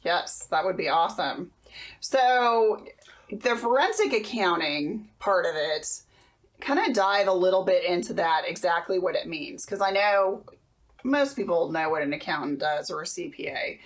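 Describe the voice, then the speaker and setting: A woman's voice: fairly low pitch, animated. Bubbly woman hosting a chat show. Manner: bubbly, enthusiastic, quick to laugh. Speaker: show host. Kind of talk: casual interview chat.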